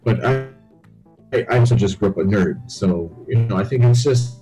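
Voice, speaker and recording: A man's voice: on the low side, normal speed, dark tone, faint music behind, poor audio. A man from Barbados, a guest on a podcast, telling stories from his upbringing in a reflective way. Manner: reflective, storytelling. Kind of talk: interview conversation.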